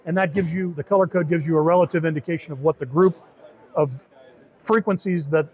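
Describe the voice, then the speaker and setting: A man giving an informal interview demo of a software tool, explaining step by step and often rephrasing as he goes. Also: on the high side, a little fast, crowd noise. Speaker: software team member demonstrating a beta tool.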